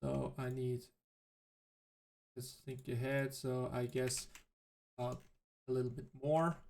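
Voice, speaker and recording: A man whose native language is German, thinking aloud in halting, self-correcting commentary as he plays a game. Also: mid-range voice, level tone, vocal fry, darkish tone. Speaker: let's play host. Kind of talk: casual gameplay commentary.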